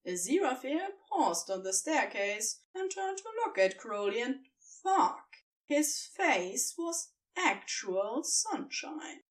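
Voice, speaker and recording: A woman narrating scripted fan fiction as an expressive storyteller, recorded slightly away from the microphone. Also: sits high, slow, recorded from a distance.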